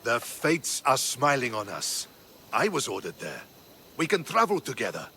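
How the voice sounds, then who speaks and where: Earnest, forceful Spartan soldier. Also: male voice, medium pitch, huge pitch swings, moderate pace, gravelly, slight background noise, full character voice. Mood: confiding. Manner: respectful, earnest. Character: loyal, diplomatic Spartan soldier, earnest and steady. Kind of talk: video game cutscene acting.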